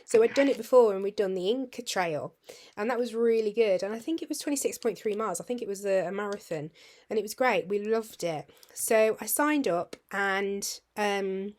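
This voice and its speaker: A British woman with a husky, hoarse voice, chatting casually to her viewers in a rambling, friendly way that sometimes drops to a near-whisper. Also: mid-range voice, moderate pace, darkish tone. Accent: British English. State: husky, hoarse after surgery tubes. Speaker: vlogger.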